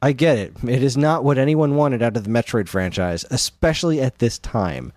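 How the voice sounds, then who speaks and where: A man's voice: mid-range voice, lively intonation, normal speed, a little mellow, no echo, clean studio sound. A man co-hosting a podcast, chatting casually about games in an enthusiastic, rambling, informal way. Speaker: podcast co-host.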